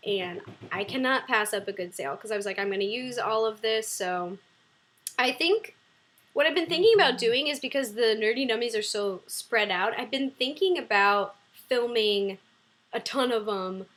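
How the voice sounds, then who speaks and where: Young woman, bubbly livestream host, fairly high voice, bright and sharp tone, laughs a lot, hums while reading, webcam mic at home. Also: animated, moderate pace. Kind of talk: casual livestream chat. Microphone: computer webcam mic.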